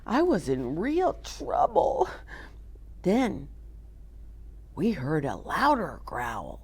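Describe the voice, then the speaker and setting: A woman hosting a children's story time, reading aloud and chatting in an animated, sing-song way, often putting questions to her young listeners. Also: lowish voice, drawn-out words, husky. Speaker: children's storyteller and show host.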